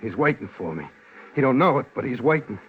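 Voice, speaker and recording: An actor playing a tough, bitter escaped convict who barks terse threats in a 1950s radio crime drama, on a muffled old recording. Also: male voice, mid-range voice, sing-song, a little fast, slight background noise, close to an everyday voice. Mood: bitter, menacing. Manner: terse, barking threats and demands.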